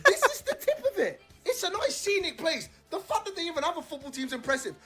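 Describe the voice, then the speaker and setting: A speaker in an excited football explainer, enthusiastic and laughing between phrases. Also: extremely high pitch, quick pace, soft background music. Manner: enthusiastic, laughs mid-sentence.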